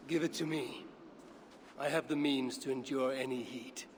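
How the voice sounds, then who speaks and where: An actor playing a haughty, menacing warrior god who commands and boasts in a video game cutscene. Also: male voice, mid-range voice, steady tone, normal speed, a little hiss or hum, close to an everyday voice.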